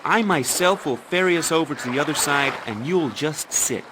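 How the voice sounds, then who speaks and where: Guy doing a brash show-off hero, highish voice swinging all over, game music under it. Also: expressive, moderate pace, background noise, close to an everyday voice. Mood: arrogant. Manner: brash, impatient, showy. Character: vain, boastful young male fairy-tale hero. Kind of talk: game voice acting.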